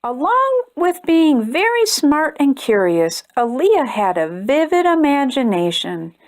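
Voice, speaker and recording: A woman hosting a storytime channel, reading a children's picture book aloud in a gentle, expressive way. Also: high-pitched, measured pace. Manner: gentle, expressive reading, brighter and chattier when addressing viewers. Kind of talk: read-aloud storytelling for kids.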